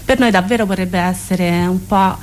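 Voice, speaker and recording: A woman's voice: on the low side, moderate pace. A woman speaks as a radio interview guest, explaining a project in long sentences while searching for words. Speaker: guest presenting a theatre residency project.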